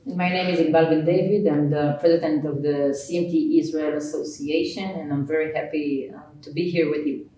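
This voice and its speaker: A woman interviewer, head of a patient association, asking questions with pauses and restarts. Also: low-pitched, steady tone, warm tone, a little hiss or hum. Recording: very echoey hall, mic at a distance.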